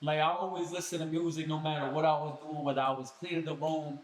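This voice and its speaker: A young man from Colombia, a guest musician telling his story in a casual podcast chat with relaxed street slang. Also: fairly high pitch, fast talker. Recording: echoey room.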